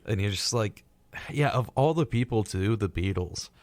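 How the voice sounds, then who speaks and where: Low-pitched man in casual conversation. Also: expressive, moderate pace, no echo. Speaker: co-host of a retrospective radio show. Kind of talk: casual chat.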